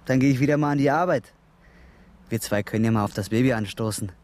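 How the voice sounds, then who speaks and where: A man's voice: medium pitch, fast, darkish tone, close to an everyday voice. An actor playing a brash, pushy young handyman in a German radio crime drama, chatty and coarse.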